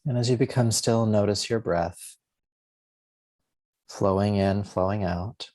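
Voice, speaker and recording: Male meditation guide: low, very soft-edged relaxed tone, gentle and soothing, short unhurried phrases. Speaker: yoga nidra teacher.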